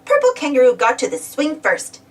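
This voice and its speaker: A woman narrating a children's story aloud in an animated read-aloud style. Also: high-pitched, fairly quick, distant mic, slight background noise. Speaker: storybook reader.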